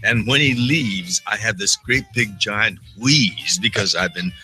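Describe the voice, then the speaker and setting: An older man in casual chat, speaking haltingly with many restarts while he is losing his voice. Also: lowish voice, bright, forceful tone, poor audio. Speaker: actor guest.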